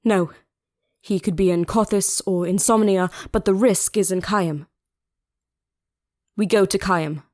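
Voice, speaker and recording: A woman's voice: mid-range voice, fairly even intonation, unhurried, long pauses, darkish tone, natural voice. A woman playing a determined young warrior princess in an audio drama, terse and commanding. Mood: resolute. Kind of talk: fan audio drama acting. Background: music.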